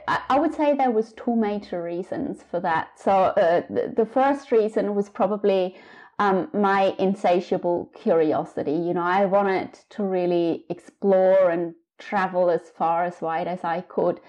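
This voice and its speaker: A woman in her thirties from Switzerland speaks as an interview guest about her own book. She talks in a reflective, unhurried way, pausing on fillers as she gathers her thoughts. Her voice sits in an ordinary middle pitch. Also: darkish tone, dull audio. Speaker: author guest. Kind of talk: casual interview conversation.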